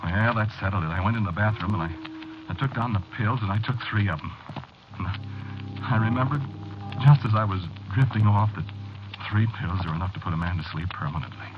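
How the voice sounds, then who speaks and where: An actor playing an anxious, haunted office man, confiding haltingly in an old-time radio horror drama. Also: fairly low pitch, noisy background, natural voice. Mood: brooding, haunted. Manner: halting, brooding, confiding in the listener. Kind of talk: old-time radio horror acting, first-person narration. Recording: dull old radio drama recording, music behind.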